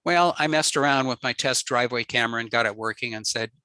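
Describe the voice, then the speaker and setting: A man with a very bright, sharp voice walks through a technical slide presentation at an easy pace, dropping frequent ums and uhs as he explains. The sound is dry and close, with no room around it. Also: mid-range voice, quick pace, no room sound. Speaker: ham radio presenter.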